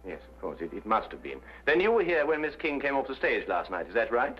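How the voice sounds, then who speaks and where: An actor playing a courteous, composed gentleman detective who questions politely in an old-time detective drama. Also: mid-range voice, big pitch swings, quick pace, close to an everyday voice. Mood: gently probing. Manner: polite, probing, slightly hesitant questioning. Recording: muffled old radio-era recording.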